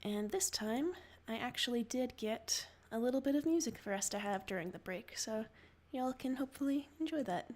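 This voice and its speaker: A woman's voice: a little high, lively intonation, moderate pace, a little mellow. A woman hosting a livestream, moving between story narration and casual chat. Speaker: livestream story reader and host.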